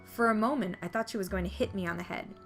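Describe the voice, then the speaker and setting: A woman's voice: medium pitch, quick pace, creaky, soft background music. A woman narrating a visual novel playthrough, reading the first-person story text aloud.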